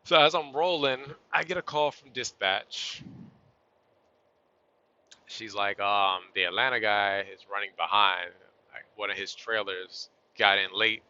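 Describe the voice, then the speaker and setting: A man driving a truck and chatting casually to his vlog audience, a little hoarse and losing his voice, with an easygoing, rambling manner. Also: fairly low pitch, measured pace, pushed and bright. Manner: laid-back, chatty, southern-style y'all. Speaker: truck driver vlogger. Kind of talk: casual vlog talk to camera while driving. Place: inside a truck cab on the road. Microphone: phone or camera on a tripod. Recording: dull lo-fi sound.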